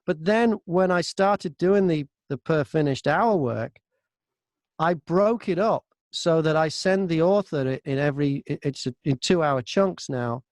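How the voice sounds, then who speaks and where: Guy with a highish voice, casual interview chat, acts out bits of his stories, dry close recording. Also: a little slow, no echo. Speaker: interview guest, audiobook narrator and voice actor.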